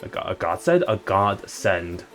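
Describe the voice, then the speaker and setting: Low-voiced man rambling through a game stream. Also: sing-song, unhurried, a bit bright, soft background music. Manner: rambling, self-correcting, jokey. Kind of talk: casual game-stream commentary.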